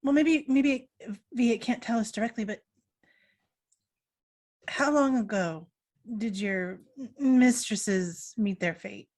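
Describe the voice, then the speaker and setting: A woman's voice: on the high side, slow, pauses often, a little mellow. Earnest woman playing a character. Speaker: player. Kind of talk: playing a character in a tabletop game.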